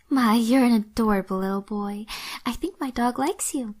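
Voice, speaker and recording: A sweet young lady manager, acted by a woman in an audio play. She speaks in a fairly high voice with polite, gentle manners. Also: slow pace. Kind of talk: fan audio drama acting.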